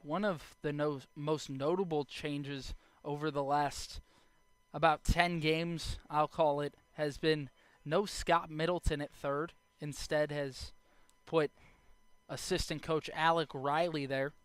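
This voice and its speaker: A young adult man doing live baseball play-by-play as the announcer, speaking in short clipped phrases with measured pauses. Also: a little high, fairly even intonation, clear, resonant tone, dry recording. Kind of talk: live sports play-by-play commentary.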